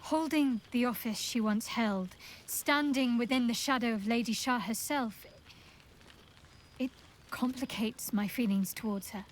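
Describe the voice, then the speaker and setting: A woman playing a devout, commanding young dark priestess in a video game, speaking in a measured, formal, wry and intimate way. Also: on the high side, a little hiss or hum, natural voice. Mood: sober, reflective. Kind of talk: video game character dialogue. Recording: game dialogue over background music. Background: music.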